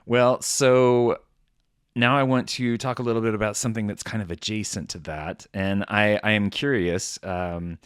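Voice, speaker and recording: Man, low voice, casual podcast host, agreeable, dry sound. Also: steady tone, moderate pace, clean tone, no room sound. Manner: affable, agreeable, lots of backchannel. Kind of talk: casual interview chat.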